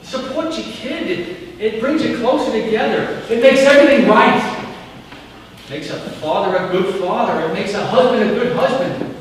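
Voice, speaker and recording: A man's voice: very high voice, normal speed. A man playing a blunt, earnest sports-loving father in a live stage play. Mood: earnest, insistent. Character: blunt, earnest sports dad cheering on his son. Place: theatre stage, live performance. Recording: echoey hall from far off, faint noise.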